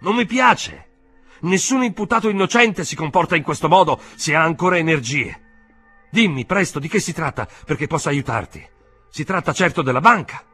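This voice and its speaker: A man voicing an agitated, domineering older uncle in an audiobook, exclaiming loudly and insistently with indignation. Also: a little high, lively intonation, moderate pace, husky, soft background music, pitched above the performer's own voice, full character voice. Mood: pleading, indignant.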